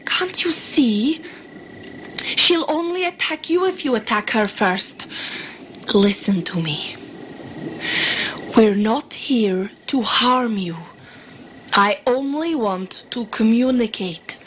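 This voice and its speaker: A woman's voice: on the high side, expressive, slow pace, pauses often, fairly bright tone, a little hiss or hum, poor audio. A woman playing a level-headed, peaceable explorer in a sci-fi audio drama, speaking formally and with measure. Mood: earnest pleading.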